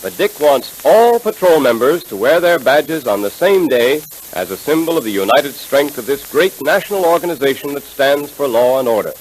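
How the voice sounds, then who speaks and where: A man announcing in 1930s radio style, making an emphatic, enthusiastic scripted pitch to children. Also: expressive, moderate pace, hardly any pauses, poor audio. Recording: hissy 1930s radio recording.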